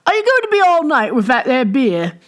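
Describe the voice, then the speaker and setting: A woman's voice: sits high, wildly swooping pitch, brisk, pitched above the performer's own voice, exaggerated voice. A woman voicing boisterous, impatient tavern drinkers calling out rowdily in a storybook. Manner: shouting, rowdy. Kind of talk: storybook character reading.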